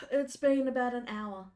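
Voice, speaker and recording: A woman's voice: a little high, unhurried, soft background music. A woman game master narrating a tabletop game casually, sounding a little congested from a cold. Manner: casual, relaxed narration with fillers.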